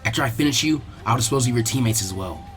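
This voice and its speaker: Guy doing a quiet polite ninja girl, low and hushed for him, formal, resolute, music under it. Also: animated, lightly acted. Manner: soft-spoken, measured, formal. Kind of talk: character voice in story reading. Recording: music behind the voice.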